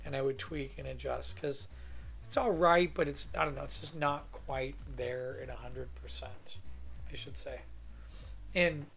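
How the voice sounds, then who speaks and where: A man from California with a hoarse voice from a cold, thinking aloud in a rambling, casual way as he teaches a drawing demo in his home studio. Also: mid-range voice, steady tone, unhurried, a bit bright, faint music behind. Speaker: art instructor.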